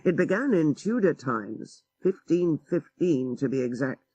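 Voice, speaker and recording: A man voicing a worldly, bookish gentleman in an audiobook story, urbane, knowing and deliberate. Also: a little high, big pitch swings, slightly rough, no echo, lo-fi sound, full character voice. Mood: urbane, teasing.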